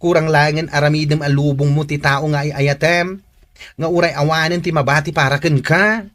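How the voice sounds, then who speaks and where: A man's voice: a little high, steady tone, normal speed, fairly bright tone, natural voice. A man playing a blunt, caring, gossipy friend who gives advice in a radio drama. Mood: blunt, concerned.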